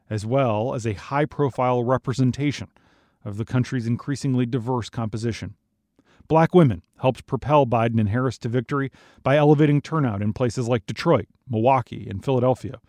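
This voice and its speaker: A man's voice: medium pitch, a little mellow, no echo. American man reading news, close and dry. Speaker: news podcast host. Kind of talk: scripted news narration.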